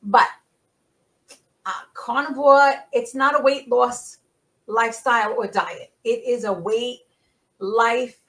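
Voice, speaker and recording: A middle-aged American woman who grew up speaking an Italian dialect, talking as a podcast guest, animated and emphatic with frequent laughs. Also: fairly high pitch, slow pace, long pauses, poor audio. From United States. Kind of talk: casual conversation.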